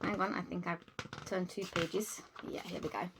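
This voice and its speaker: A woman's voice: lowish voice, steady tone, moderate pace, noisy background. A woman reading a children's storybook aloud as a teacher, expressively, with simple explaining asides for young listeners.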